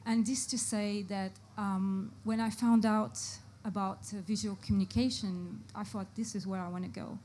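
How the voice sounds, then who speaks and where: A woman's voice: medium pitch, steady tone, normal speed, hardly any pauses, warm tone. A woman from Portugal with a Portuguese accent, speaking on a live design panel, hesitant with many fillers as she searches for words. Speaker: panelist, brand art director. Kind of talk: panel discussion. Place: live panel event before an audience.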